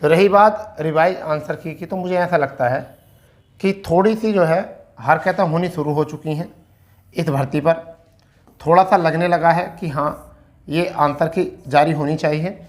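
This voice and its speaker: Higher-pitched man explaining to students. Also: measured pace. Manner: repeats key phrases for emphasis. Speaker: exam coaching teacher giving recruitment updates.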